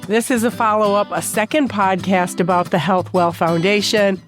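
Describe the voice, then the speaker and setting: A woman's voice: mid-range voice, steady tone, moderate pace, over music. A woman hosting a podcast interview, sounding congested from a bad cold and asking earnest, concerned questions. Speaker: podcast host.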